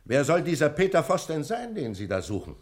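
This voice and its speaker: An actor playing a pompous, stubborn and indignant prison director in a 1960s German radio drama. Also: male voice, on the high side, very animated, a little fast. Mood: sceptical, officious. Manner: pompous, officious, scoffing.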